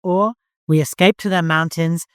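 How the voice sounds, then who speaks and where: A narrator acts a strong, defiant woman in an audiobook. She speaks bluntly and forcefully, with a fierce temper. The sound is dry and close. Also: medium pitch, fairly even intonation, moderate pace, smooth, no room sound, pitched above the performer's own voice, natural voice. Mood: grim, resolute. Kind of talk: audiobook character acting.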